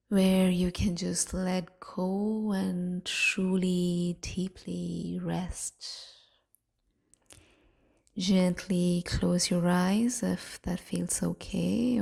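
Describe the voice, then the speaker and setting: Very slow, mellow woman guiding meditation. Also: fairly low pitch. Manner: hushed, soothing, gently breathy delivery with long pauses. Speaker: meditation guide. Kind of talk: guided sleep meditation.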